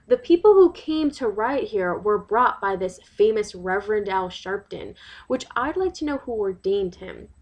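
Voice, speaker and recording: A woman's voice: medium pitch, animated, moderate pace, dull audio, close to an everyday voice. Woman acting an indignant, worried neighborhood mother. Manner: emphatic, rhetorical questions, exasperated asides. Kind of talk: dramatic monologue, testimonial to a listener.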